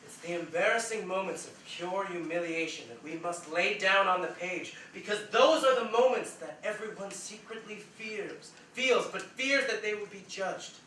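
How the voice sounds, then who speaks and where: A man playing a high-voiced, pompous writer. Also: normal speed, hardly any pauses, sharp tone. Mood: earnest, grandiose. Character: earnest, pompous male writer who argues and pontificates. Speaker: stage actor. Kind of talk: staged play reading. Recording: very echoey room, distant mic, faint hiss.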